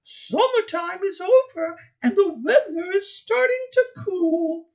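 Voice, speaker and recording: A gentle storybook mother, played by a man in a very high falsetto. She speaks slowly to her young daughter with a lilting, sing-song delivery. The sound is a little dull. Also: expressive, vocal fry, heavily acted. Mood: gentle, firm.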